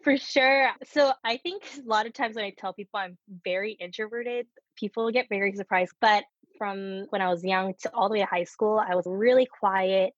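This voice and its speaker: Candid woman with a slightly husky voice. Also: medium pitch, dry recording, highs cut off. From United States. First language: Korean. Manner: candid, laughs often while telling stories. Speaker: startup co-founder guest. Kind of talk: casual interview conversation.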